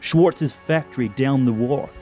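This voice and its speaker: A man's voice: medium pitch, measured pace, a little mellow, faint music behind, lightly acted. A man playing an alien villain who poses as a brusque American police detective in an audio drama, smug and theatrical. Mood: brisk, official.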